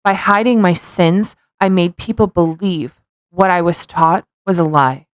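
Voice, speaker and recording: A woman's voice: on the low side, a little slow, no echo. A woman co-hosting a podcast, chatting casually and reading a post aloud. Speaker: podcast co-host.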